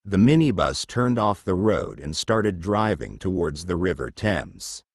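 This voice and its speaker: A deep-voiced man narrates a children's story for learners. He reads in a slow, measured way, with lively swings of intonation. The sound is dry and close. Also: breathy edge, no echo.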